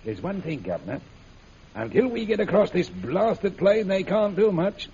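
An actor playing a rough, emotional Cockney sidekick in an old radio adventure serial, with a gravelly voice. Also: male voice, high voice, very animated, moderate pace, warm tone, exaggerated voice. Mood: grim, uneasy. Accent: Cockney English. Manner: emotional, colloquial, exclaiming. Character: rough, excitable Cockney sidekick, anxious father. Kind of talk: 1930s radio serial acting. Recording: muffled, noisy old radio serial recording.

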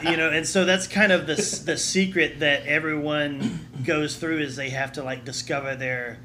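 Male podcast panelist, casual conversational delivery, bright edge, slightly noisy recording. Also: on the high side, fairly even intonation, moderate pace. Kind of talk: casual chat. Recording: noticeable background noise.

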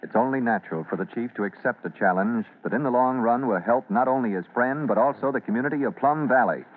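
A man's voice: medium pitch, fast. A man narrating as a theatrical, emphatic announcer for an old-time radio serial. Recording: tinny, noisy old radio broadcast.

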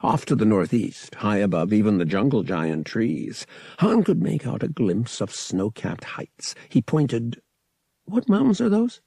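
A man reading a science fiction novel aloud as an audiobook narrator, with expressive storytelling. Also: lowish voice, unhurried, gravelly, slightly rough, dry recording. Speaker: audiobook reader.

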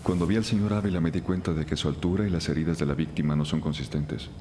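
An actor playing a brisk, analytical forensic investigator in a crime audio drama, explaining methodically. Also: male voice, a bass voice, fairly even intonation, dark tone, a little hiss or hum. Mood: analytical, urgent.

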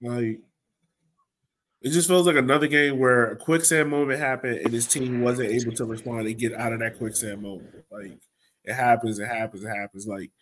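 Male podcast host, mid-pitched, casual and exasperated sports talk full of rhetorical questions. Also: steady tone, moderate pace, a bit bright, slight background noise.

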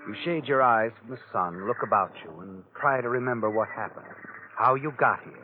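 An actor playing an omniscient, taunting narrator of crime tales in vintage radio drama style, dramatic and knowing. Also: male voice, medium pitch, fairly quick, lightly acted. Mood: ominous narrating. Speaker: host and narrator. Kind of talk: 1940s-50s radio drama narration in second person. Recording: tinny band-limited vintage radio recording. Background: dramatic music.

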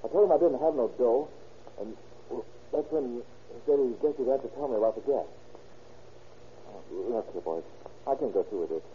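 An actor playing a nervous, evasive crook with slangy, ungrammatical speech in a classic radio police drama. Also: male voice, mid-range voice, animated, brisk, pauses often, lightly acted. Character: nervous, cornered crook brother under police questioning. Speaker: narrator and actor. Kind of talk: 1930s-40s radio police drama acting. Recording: muffled, noisy old radio recording.

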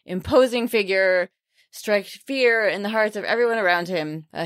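A woman's voice: mid-range voice, fairly bright tone, dry recording, clean studio sound. A woman hosting a book podcast, reviewing books in a chatty way with many fillers and asides. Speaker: podcast host. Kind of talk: casual book review chat.

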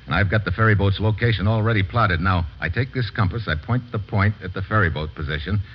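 A man playing a brisk, resourceful American investigator in an old-time radio drama, with a clipped, commanding manner. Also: lowish voice, lightly acted. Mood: focused, businesslike. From United States. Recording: dull, noisy old radio recording.

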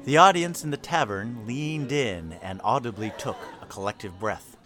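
A man's voice: medium pitch, lively intonation, moderate pace. Male fantasy story narrator over music. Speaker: narrator of a fantasy audio drama. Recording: clear music behind the voice.

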